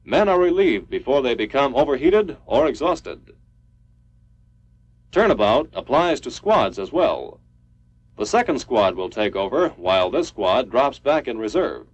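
A man's voice: mid-range voice, sing-song, a little slow. A man reading a scripted 1950s military training film narration in a formal, clipped, instructional manner. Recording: dull lo-fi 1950s film soundtrack.

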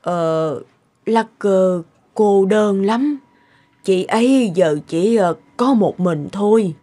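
A woman voicing a sad, gentle elderly woman in a cartoon, speaking hesitantly. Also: mid-range voice, slow. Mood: sorrowful. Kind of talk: cartoon dubbing.

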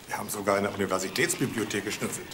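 A man's voice: fairly low pitch, fairly even intonation, racing, noisy background, natural voice. A man playing a direct, matter-of-fact police detective in a crime drama, questioning bluntly. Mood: probing. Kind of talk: German TV crime drama acting.

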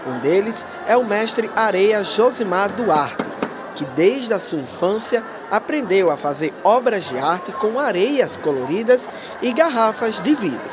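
A man reading a news report as voice-over, in a lively, animated way. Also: sits high, measured pace, noisy background.

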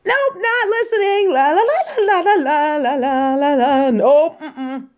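A woman playing a casual, affectionate, teasing supervillain who gets flustered, speaking haltingly and expressively in an audio drama. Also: sits high, a little mellow. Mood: embarrassed, flustered. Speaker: voice actress in an audio roleplay. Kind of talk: intimate scripted audio drama addressed to a listener.

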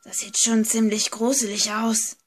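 Unhurried, breathy husky voice, subdued young adventurer acted by a woman, amateur horror audio play. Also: a little high, little pitch movement. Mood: uneasy. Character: young friend braving a haunted villa.

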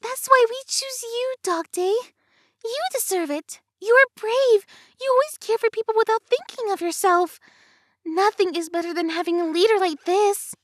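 A performer voicing a gentle, caring female creature in a cartoon, speaking in a soft, reassuring, childlike way. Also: extremely high pitch, moderate pace, no echo, clean studio sound. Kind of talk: fan-made cartoon voice acting.

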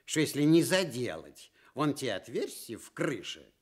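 Man as a pedantic, slow-spoken learned stork. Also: mid-range voice, very animated, husky. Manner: pedantic, wordy, formal. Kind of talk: fairy-tale radio reading in character.

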